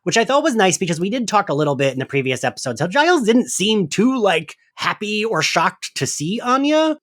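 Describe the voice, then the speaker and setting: A man's voice: high-pitched, big pitch swings, fairly quick, a bit bright, dry recording, studio quality. A man hosting a podcast in a casual recap discussion, chatty and hedging, often saying "like". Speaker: podcast host.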